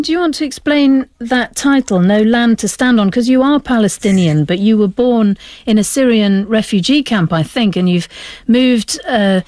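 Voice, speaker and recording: A woman's voice: a little high, a little mellow, no echo. Dry studio-sounding woman news presenter. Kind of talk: broadcast news presenting and interviewing.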